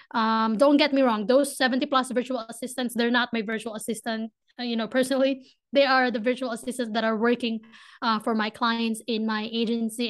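A young woman from the Philippines with a Filipino accent, a podcast guest talking casually and eagerly, speeding up when she gets excited. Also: on the high side. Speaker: podcast guest, business founder. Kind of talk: casual interview talk.

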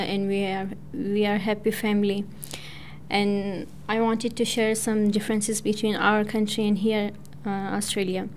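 A young woman from Pakistan whose first language is Persian, speaking halting, hesitant English with many fillers as a student talking on community radio. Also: medium pitch, a little mellow, slight background noise. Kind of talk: prepared personal talk.

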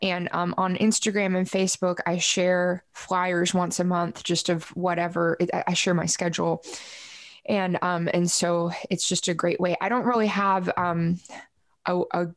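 A woman with a fairly low speaking voice, recorded dry and close. She chats informally as an interview guest, rambling from one thought to the next in a casual way. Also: flat delivery, moderate pace, no echo. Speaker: guest musician.

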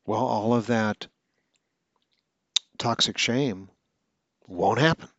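A very deep-voiced man talks through a coaching podcast in an earnest way, with occasional stutters and restarts. The sound is dry and close, with a slightly dull, lo-fi quality. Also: takes it slowly, no room sound. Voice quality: stutters now and then. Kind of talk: instructional podcast monologue.

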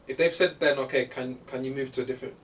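A man's voice: medium pitch, fast. Laughing young man, off-mic. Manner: laughs often, animated and opinionated. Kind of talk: casual chat among friends. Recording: distant mic, faint room noise.

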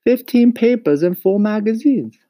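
A man's voice: sits high, animated, normal speed, warm tone, dry recording, studio quality, pitched above the performer's own voice. A man voicing an earnest, eager young boy in a storybook, with a pleading manner. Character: eager earnest young boy who loves his pet. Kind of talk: acted storybook reading.

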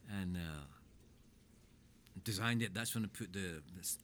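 An older man telling his life story in a casual chat, rambling through animated anecdotes with plenty of swearing. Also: deep voice, a little slow, a little hiss or hum.

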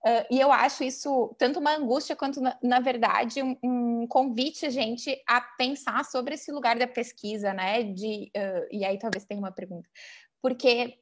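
A woman with a Brazilian accent in an informal academic discussion, speaking as a host who thinks aloud in hedged, halting phrases. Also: on the high side, fairly even intonation.

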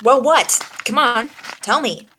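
A woman's voice: on the high side, animated, takes it slowly, background noise. A woman playing a wry, skeptical female private detective in the style of a 1940s radio drama. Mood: probing. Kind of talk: 1940s old-time radio detective acting. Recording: music behind the voice.

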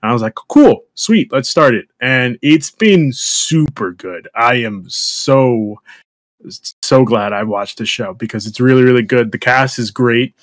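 A male podcast co-host talking casually, wandering through his point with fillers and restarts. His voice is mid-pitched and sits in a dry, dead-sounding room. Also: expressive, a little slow, no echo. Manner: rambling, many restarts and fillers. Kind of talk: casual chat.

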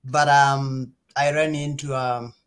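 A middle-aged man with a Kenyan accent, talking casually as an interview guest and pausing often mid-sentence. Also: medium pitch, flat delivery, clean tone.

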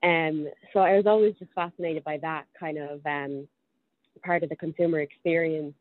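A woman's voice: sits low, fairly even intonation, normal speed. A young Irish woman in her twenties, a guest in a casual interview, chatting with frequent ums as she thinks aloud. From Ireland. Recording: tinny phone-like line.

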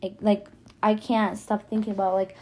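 A woman's voice: medium pitch, flat delivery, normal speed, darkish tone, a little hiss or hum. A teenage girl, a native Spanish speaker with a Spanish accent, talking casually and hesitantly in a self-conscious, rambling podcast monologue. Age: teenager, high school freshman. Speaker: podcast host.

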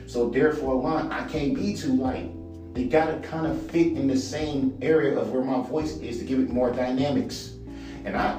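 A man with a baritone voice ranting casually and profanely as a podcast host, rambling and animated. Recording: echoey room, far from mic, music behind.

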